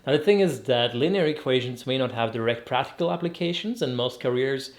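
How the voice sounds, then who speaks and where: A young man from Croatia, hosting an educational video, explains math step by step in clear, methodical scripted narration with a light joking touch. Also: medium pitch, animated, a little fast.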